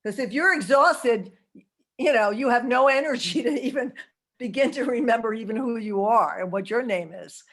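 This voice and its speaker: A woman's voice: fairly high pitch, normal speed. Chatty older woman on a video call. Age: 70s. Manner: chatty, laughs often, asides like "okay?". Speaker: holistic health speaker. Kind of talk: casual talk on a video call.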